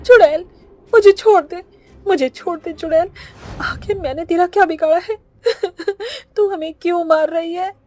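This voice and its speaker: A woman's voice: a little slow, mellow. A woman voicing a terrified village mother in a horror cartoon, tearfully begging for her life. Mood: terrified pleading. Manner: pleading, tearful, high-pitched. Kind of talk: melodramatic horror cartoon voice acting.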